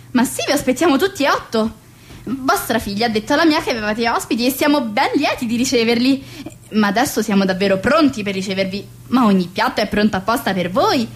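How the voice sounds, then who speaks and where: A woman's voice: on the high side, natural voice. A woman playing a fussy, theatrical middle-aged society hostess and mother in a radio comedy set in the 1920s. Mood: insistent, polite. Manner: theatrical, exasperated, grandiose. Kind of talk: radio play acting of a 1920s stage comedy.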